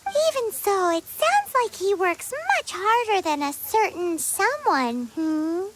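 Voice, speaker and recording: A woman voicing a small, excitable, cheeky childlike fairy girl in a video game, with a squeaky voice. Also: slow, exaggerated voice. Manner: exclaiming, speaks of self in third person. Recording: heavy noise behind the voice.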